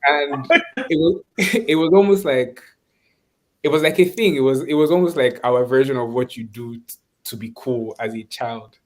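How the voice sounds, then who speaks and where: A Nigerian man speaking reflectively and conversationally in an online webinar, often pausing and restarting as he searches for words. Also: mid-range voice, steady tone, darkish tone. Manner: thoughtful, halting, searching for words. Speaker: webinar speaker, course instructor.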